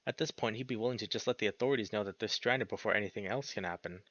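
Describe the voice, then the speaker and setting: A man reading a visual novel aloud as expressive narration, breaking off into casual, sweary, slangy reactions. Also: on the low side, racing, dry recording, dull audio. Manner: animated, sweary, slangy interjections.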